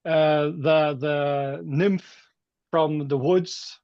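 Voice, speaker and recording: Higher-voiced Norwegian man, slightly muffled sound. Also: steady tone, drawn-out words, dry recording. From Norway. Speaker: metal musician being interviewed. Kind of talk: casual interview chat.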